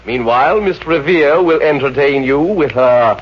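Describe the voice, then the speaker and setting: An actor playing a cheeky, sardonic butler, mock-formal and drily mischievous, in a 1950s radio comedy. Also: male voice, fairly high pitch, normal speed, breathy edge. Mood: polite, droll. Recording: muffled, noisy vintage radio recording.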